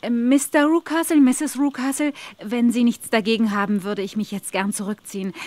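Woman acting a polite, high-voiced young governess. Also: fairly even intonation, normal speed, a little mellow, no echo, close to an everyday voice. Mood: guarded politeness. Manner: polite, formal, guarded. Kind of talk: German audio drama acting.

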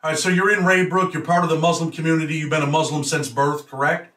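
A man's voice: fairly high pitch, little pitch movement, fast talker, a crowd in the background. A middle-aged man hosting an interview show in a casual, direct way. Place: indoor room with banners. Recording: roomy, slightly distant mic.

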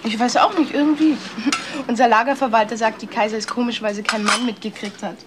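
An actress plays a female office worker who loves gossip, in a high voice. She chatters cattily, in the manner of a crime radio drama. There is faint noise on the recording. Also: fairly even intonation, fast talker, close to an everyday voice. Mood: catty, amused. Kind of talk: German crime radio play acting.

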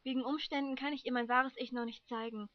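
A young woman in an amateur anime fandub voicing a gentle, secretive long-haired youth with composed delivery. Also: sits high, fairly even intonation, fast, no echo, natural voice. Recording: muffled, dry home microphone.

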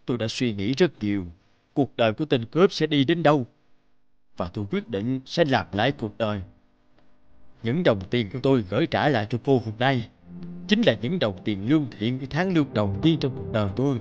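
A man voicing a rough, gruff robber in an animated morality tale. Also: mid-range voice, fairly quick, no room sound, highs cut off, lightly acted. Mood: reflective, grateful. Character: rough male robber, menacing, later remorseful.

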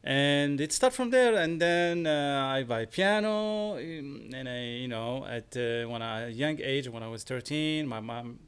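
A man in his forties from Morocco with a Moroccan accent, a guest composer in a casual studio interview, talking haltingly and restarting as he searches for words. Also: mid-range voice, expressive, clear, resonant tone. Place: small studio with screens. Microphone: studio mic on stand, headphones.

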